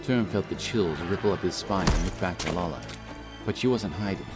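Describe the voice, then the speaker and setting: A man's voice: deep voice, a little fast. A man reading scripted fantasy narration as a dramatic storyteller. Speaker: audiobook narrator. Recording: loud music behind the voice.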